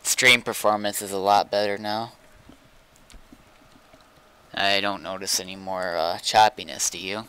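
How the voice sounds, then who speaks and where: Male game streamer, deep and clear, casual and laid-back, keeps his replies brief. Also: fairly even intonation, measured pace, long pauses, pushed and bright. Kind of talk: casual chat while gaming.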